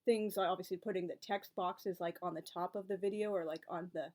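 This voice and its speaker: A woman's voice: fairly low pitch, fairly even intonation, brisk, dark tone. A woman in her forties talking through her own experiences as a book and author video creator in a casual, reflective way. She rambles along, stacking up asides and tangents, with a wry, exasperated edge when she turns to advice from others. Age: 40s. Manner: chatty and rambling, piling up asides. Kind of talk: casual reflective vlog talk.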